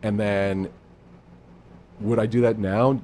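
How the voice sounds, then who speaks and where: Low-voiced man in his thirties, easygoing podcast guest, self-deprecating; slight background hiss. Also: steady tone, takes it slowly, darkish tone. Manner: laid-back, self-deprecating, rambling. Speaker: improv guest. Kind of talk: casual podcast chat.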